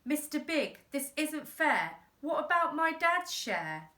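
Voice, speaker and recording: Lady doing a cheeky little boy, high-pitched and protesting. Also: sing-song, takes it slowly, sharp tone, exaggerated voice. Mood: pleading. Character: defiant young boy, bold and indignant.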